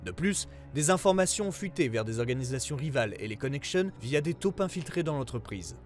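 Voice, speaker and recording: High-pitched, expressive male narrator. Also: brisk, soft background music. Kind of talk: scripted narration of an investigation report.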